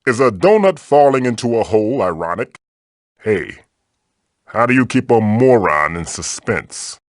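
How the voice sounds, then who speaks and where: A man's voice: fairly low pitch, big pitch swings, a little slow, no room sound, studio quality. A man voicing a magical floating tiki mask in a video game, a fatherly guide who is theatrical, encouraging and wry. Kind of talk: video game character voice lines, tutorials and quips.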